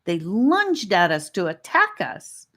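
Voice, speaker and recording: A woman's voice: lowish voice, huge pitch swings, takes it slowly, sharp tone, full character voice. A woman voicing a proud, impatient young girl who speaks melodramatically in an audiobook. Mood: melodramatic.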